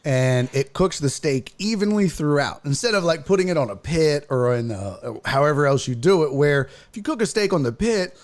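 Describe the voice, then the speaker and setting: An American man with a fairly high voice that swings widely in pitch. He chats like a livestream host bantering with his audience. His talk is casual and profane, full of exasperated, exaggerated teasing. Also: expressive, normal speed, hardly any pauses. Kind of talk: casual chat, bantering and reading viewer comments.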